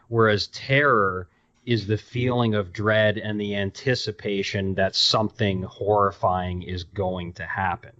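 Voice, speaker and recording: A man with a fairly low voice speaks as a podcast co-host. He explains his points in a measured, halting manner, still conversational. The audio is somewhat dull and lo-fi. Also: a bit bright. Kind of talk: casual discussion, explaining.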